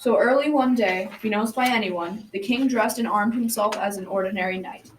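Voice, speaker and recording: A woman's voice: medium pitch, normal speed, close to an everyday voice. Young girl as a folksy grandpa storyteller, distant mic. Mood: storytelling. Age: young teenager. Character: folksy old grandfather telling a tale to grandchildren. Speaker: student narrator in a school radio drama. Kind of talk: scripted storytelling. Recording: distant mic, clear background noise.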